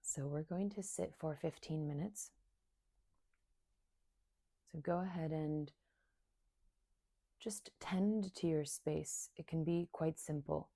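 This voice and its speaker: A woman leading a guided meditation in a soft, soothing, unhurried way, leaving long pauses between short phrases. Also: sits low, creaky, no room sound. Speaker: meditation guide and somatic coach.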